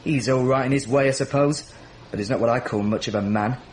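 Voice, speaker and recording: An actor playing a simple, earnest, wounded young village mechanic in a radio drama, speaking plainly and haltingly. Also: male voice, medium pitch, a little hiss or hum, lightly acted. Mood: resentful.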